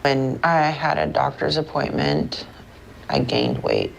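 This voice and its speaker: A woman's voice: rumbling low, huge pitch swings, slow pace, low-quality recording. An American woman talking about personal matters as a patient in a counseling session, with hesitant phrasing. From Portland, Oregon, United States. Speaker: therapy patient. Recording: clear background room noise.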